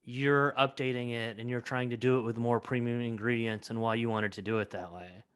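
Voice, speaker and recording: A male host asks his guests questions in a steady, conversational tone. His voice is smooth and clear, pitched in an average range. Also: a little fast. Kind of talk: interview questions.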